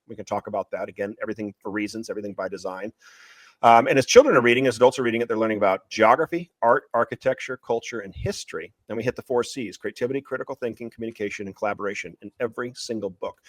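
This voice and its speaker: A man speaking as an author guest in an interview, pitching his books in an emphatic, opinionated way and repeating phrases for stress. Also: a bit bright, dry recording. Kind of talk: passionate conversational pitch of a book series.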